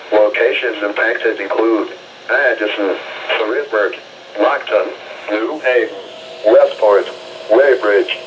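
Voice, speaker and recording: A synthetic male voice with an American accent reads scripted weather bulletins over weather radio in measured, short, clipped phrases. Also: mid-range voice. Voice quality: synthetic text-to-speech voice. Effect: weather radio broadcast. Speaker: automated weather radio announcer. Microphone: radio receiver picked up by a camera mic. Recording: noisy, distant, muffled radio speaker pickup.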